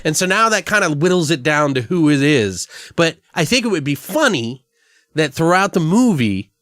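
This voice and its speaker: A man co-hosting a comedy podcast, brainstorming casually in a rambling, profane way as he thinks out loud. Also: a little high, very animated, moderate pace, no echo, clean studio sound. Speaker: podcast co-host.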